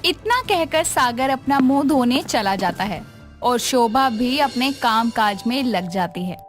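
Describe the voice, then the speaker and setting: A woman's voice: fairly high pitch, expressive, unhurried, faint music behind. A woman narrator telling a scripted moral story in storytelling style.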